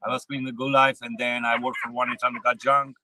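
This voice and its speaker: A man in his forties from Romania, a trucker with a Romanian accent, answering interview questions plainly in halting non-native English. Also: medium pitch, level tone, sharp tone, no echo, studio quality. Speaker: truck driver being interviewed. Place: inside a truck cab.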